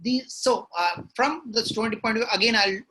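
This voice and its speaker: A man's voice: high-pitched, moderate pace, bright, forceful tone, slight background noise, low-quality recording. A man with an Indian accent, a novelist guest in a conversational interview, explaining his work reflectively and slipping into Hindi at times.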